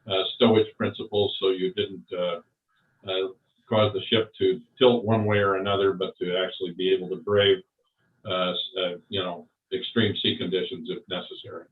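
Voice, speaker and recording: An older man recalling his service and career in an interview, talking slowly with many pauses and fillers. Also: low voice, flat delivery. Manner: slow, halting, many fillers. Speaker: interviewee, Vietnam veteran. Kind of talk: oral history interview. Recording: far off, roomy, phone-like.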